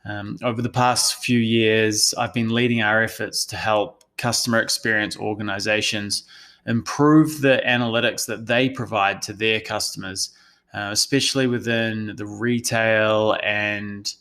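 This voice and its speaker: Low-voiced New Zealand man presenting. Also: sharp tone. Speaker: analytics consultant presenting a session. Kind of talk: prepared webinar presentation.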